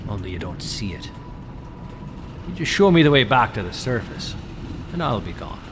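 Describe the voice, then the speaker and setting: A man's voice: on the low side, sing-song, normal speed, background noise. An actor playing a brash, restless whaler in an audio drama, blunt, mocking and challenging in his delivery. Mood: fed up, defiant.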